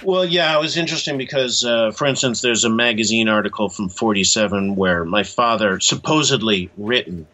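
A middle-aged man speaking as an interview guest, explaining things in a measured, slightly hesitant conversational way. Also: fairly low pitch, pushed and bright. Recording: dull, lo-fi sound.

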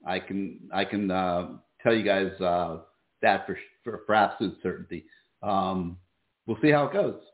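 Hoarse sports call-in host, echoey lo-fi. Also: male voice, sits low, normal speed. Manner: relaxed, many fillers and restarts. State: losing his voice. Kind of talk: casual call-in radio talk.

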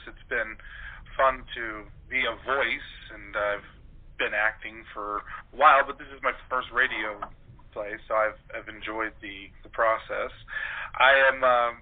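A man speaking as an interview guest in a halting, thoughtful, conversational way. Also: mid-range voice. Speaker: guest, actor. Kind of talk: casual interview chat. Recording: tinny phone or radio line.

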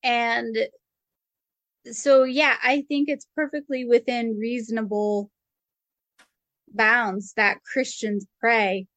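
A woman's voice: on the high side, fairly even intonation, slow pace, pauses often, a bit bright, dry recording. A woman co-hosting a casual faith podcast, chatty and full of "like" fillers. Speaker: co-host. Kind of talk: casual theological discussion.

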